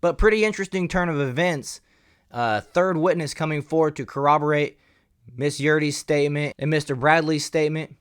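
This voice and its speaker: A man's voice: on the high side, a little fast, smooth, no echo, studio quality. A man hosting a commentary channel, moving between reading news and giving his own opinion in a conversational, emphatic way.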